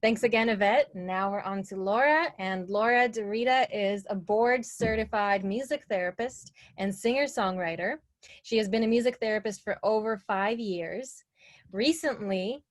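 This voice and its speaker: A woman at a middling pitch hosts a live online workshop over a video call. She sounds upbeat as she introduces guests and reads out comments from viewers. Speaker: host.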